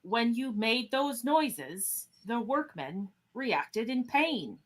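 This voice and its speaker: A woman's voice: on the high side, sing-song, drawn-out words, heavily acted. A woman playing a dignified, authoritative starship captain in a fan radio play. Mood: questioning. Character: commanding, questioning starship captain, formal and dignified.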